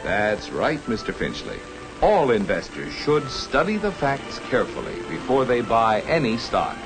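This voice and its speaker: Animated, earnest 1950s cartoon stockbroker, American. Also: male voice, medium pitch, measured pace, poor audio. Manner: instructive, salesmanlike. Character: earnest, reassuring adult male stockbroker in an educational cartoon. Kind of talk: 1950s educational cartoon narration, scripted explaining. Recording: 1950s film soundtrack with music. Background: music.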